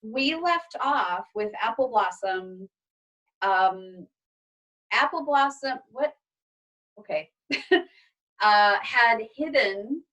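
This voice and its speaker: A woman's voice: fairly high pitch, bright, forceful tone. A woman teacher hosting an online class read-aloud, talking casually to her group over a video call. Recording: distant microphone on video call.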